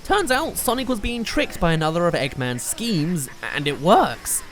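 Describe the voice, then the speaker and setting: A man's voice: sits high, big pitch swings, moderate pace. A man narrating a scripted countdown review as a video host, with an enthusiastic, emphatic delivery. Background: music.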